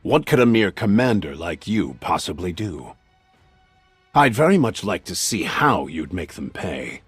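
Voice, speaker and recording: Game role: smug man in power. Very low, rough-edged, taunting, theatrical, dry sound. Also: very animated, unhurried, no room sound, faint music behind, exaggerated voice. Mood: taunting, menacing. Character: smug, menacing man of authority, toying with underlings. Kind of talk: English video game voice acting.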